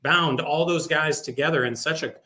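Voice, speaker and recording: Male sports announcer as interview guest, bright forward voice, casual, emphatic storyteller. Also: a little high, moderate pace.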